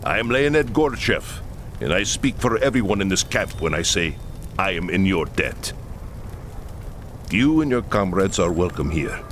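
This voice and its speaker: An actor playing a proud, solemn leader of a war-torn camp in a fantasy game cutscene, speaking earnestly with music behind. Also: male voice, low-pitched, sing-song, moderate pace, a bit bright, slight background noise. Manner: earnest and solemn, pleading at times. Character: grave, proud Kislevite camp leader, middle-aged man. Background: orchestral game music.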